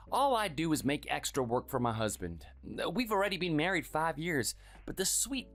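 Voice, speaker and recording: A performer voicing a meek, sickly man in his thirties who speaks softly and hesitantly, always apologizing. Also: fairly high pitch. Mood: wistful. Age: 30s. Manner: soft, hesitant, pleading. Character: meek sickly young husband, timid and apologetic.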